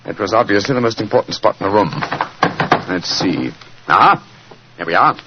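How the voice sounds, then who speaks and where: An actor playing a shrewd, self-assured English gentleman detective, speaking with urbane precision in 1940s radio drama style. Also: fairly low pitch, animated, normal speed, very noisy, lightly acted. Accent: British. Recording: dull old radio recording with music.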